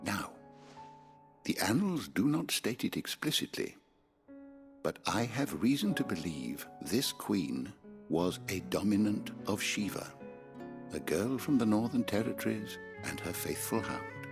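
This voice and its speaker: An actor with an English accent playing a learned, courtly scholar in a fantasy game, formal and eloquent. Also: male voice, fairly low pitch, sing-song, a little slow, faint music behind. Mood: scholarly, intrigued. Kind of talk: video game drama acting.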